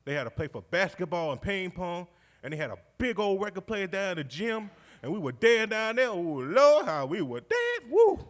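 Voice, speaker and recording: An actor playing a jovial, warmhearted World War II veteran from Georgia with a Southern accent, spinning his memories in a folksy, exuberant way on stage. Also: high-pitched, big pitch swings, fairly quick. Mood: joyful, amused. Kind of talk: live stage storytelling monologue, conversational. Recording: live stage recording in recreation hall.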